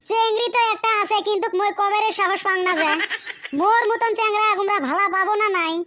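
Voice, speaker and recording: A man voicing a brash, lovesick village college boy in a comic cartoon, with a Lower Assam rural accent and a pitched-up effect. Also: fairly even intonation, fairly quick, pushed and bright, a little hiss or hum. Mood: lovesick, nervous. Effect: pitched-up chipmunk-like voice. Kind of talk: comic meme cartoon dialogue.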